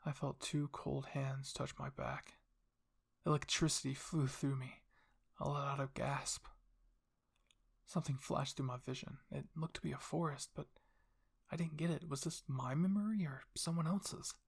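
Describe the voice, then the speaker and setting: Husky, creaky man narrating ASMR fiction. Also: mid-range voice, steady tone, moderate pace. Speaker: narrator and roleplay performer. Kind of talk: first-person ASMR story narration.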